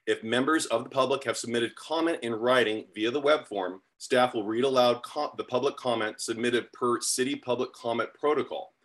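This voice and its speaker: A man's voice: mid-range voice, moderate pace, few pauses, a bit bright. A middle-aged man from San Diego chairing a public committee meeting over a video call, speaking plainly with frequent fillers. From San Diego, USA.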